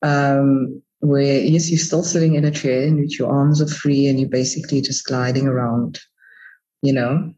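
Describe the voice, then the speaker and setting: A woman's voice: extremely low pitch, steady tone, moderate pace, warm tone. A woman chatting informally and encouragingly as a podcast guest, on slightly lo-fi audio. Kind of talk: casual conversational interview.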